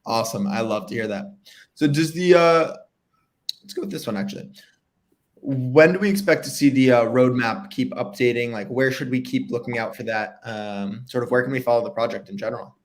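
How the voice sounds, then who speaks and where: Young male host, upbeat and chatty, home video call. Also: medium pitch. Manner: upbeat, fillers, quick reactions. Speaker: livestream host. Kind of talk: casual interview over video call. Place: home room with framed pictures.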